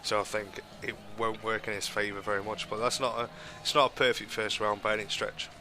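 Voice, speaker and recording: A man's voice: fairly low pitch, flat delivery, a little fast, bright, forceful tone. A young man with a stuffy, nasal voice from a cold, giving rambling commentary on a boxing video game. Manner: rambling, many ums and restarts. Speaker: gaming commentator. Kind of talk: casual gameplay commentary.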